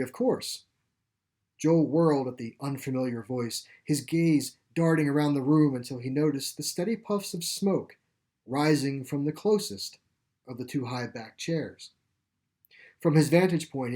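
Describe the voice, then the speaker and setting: A man reads scripted fiction aloud as its author. His delivery is deliberate and descriptive, with a mid-pitched voice that carries the prose in a literary way. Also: mellow. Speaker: author reading own short story. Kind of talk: scripted story narration.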